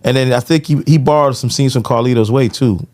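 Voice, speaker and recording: A man's voice: mid-range voice, a little fast, darkish tone, dry recording. A middle-aged man talking casually and slangily as a podcast co-host, often checking in with tag phrases. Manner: slangy, frequent "you know what I'm saying" tags. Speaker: podcast co-host, music industry veteran.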